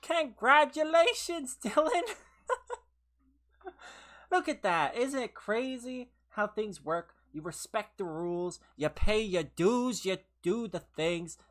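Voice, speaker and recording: A woman's voice: medium pitch, expressive, slow pace, faint music behind. Tired, laughing woman streaming a game. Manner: laughs often, playful teasing of chat. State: tired and losing her voice. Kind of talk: casual live gameplay commentary with chat. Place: her own room at home.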